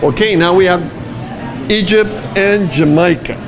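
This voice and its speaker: A man's voice: high-pitched, a little slow, over music. A man hosting a show, interviewing guests on location and often repeating his words. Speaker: show host. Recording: noisy crowd around.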